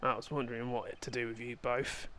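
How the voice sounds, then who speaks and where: A man's voice: mid-range voice, little pitch movement, quick pace, a bit bright, slight background noise, pitched below the performer's own voice, lightly acted. A man voicing a folksy, easygoing wagon driver character in a video game dub. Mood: folksy, unhurried.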